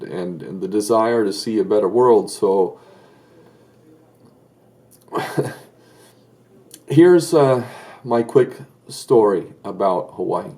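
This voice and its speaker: Middle-aged man, mellow tone, earnest commentator who stumbles and restarts often. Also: lowish voice. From Southern California. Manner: earnest, hesitant with many fillers and restarts. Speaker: political commentator. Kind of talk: solo video commentary.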